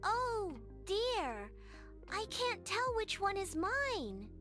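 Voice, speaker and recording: A woman's voice: extremely high pitch, very animated, extremely slow, bright, forceful tone, faint music behind, voice pushed up, full character voice. A woman voicing a tiny, timid, sad little fairy girl in a bedtime story, shy and plaintive. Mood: flustered. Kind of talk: children's bedtime storybook dialogue.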